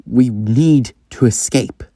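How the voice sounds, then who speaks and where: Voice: medium pitch, slow, mellow, dry recording, clean studio sound, recorded from a distance, close to an everyday voice. A performer voicing a laid-back male talking train wagon in a storytelling video, casual and a little hesitant. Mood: grave.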